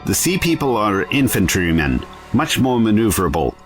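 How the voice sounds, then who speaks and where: A man playing a level-headed, wry time-travelling adventurer in an audio drama, talking in a measured, musing, wordy way. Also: lowish voice, big pitch swings, faint music behind. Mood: wry, composed.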